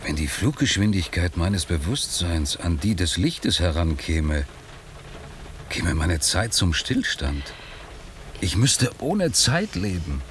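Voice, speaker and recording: A man's voice: low-pitched, big pitch swings, slow pace, fairly bright tone, a little hiss or hum, heavily acted. An actor playing a guarded, sceptical, brooding man in a German radio play, speaking in a terse, probing, dramatic way. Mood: brooding, agitated. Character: guarded, sceptical, brooding male patient with secrets.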